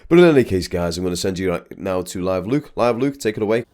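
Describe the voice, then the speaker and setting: A man's voice: sits low, expressive, fast talker, a little mellow. A young man, talking casually and rambling a bit as he vlogs over drone footage. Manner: chatty, rambling with restarts. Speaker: vlogger.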